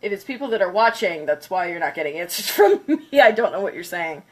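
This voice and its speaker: A woman's voice: on the low side, very animated, a little fast. A congested woman chatting casually on a livestream, counting down before smashing plates. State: congested from a cold. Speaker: craft livestreamer.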